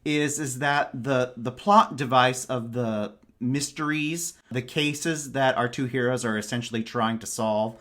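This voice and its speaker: A man's voice: medium pitch, unhurried, few pauses, fairly bright tone. A man speaking as a podcast guest in a casual book discussion, enthusiastic and emphatic in his wording.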